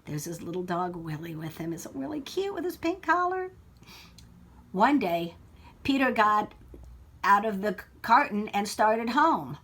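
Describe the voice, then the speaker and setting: A woman reading a story aloud to children like a teacher, expressive, with explanatory asides and questions for young listeners. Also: mid-range voice, husky. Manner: expressive, child-directed, pausing to ask questions.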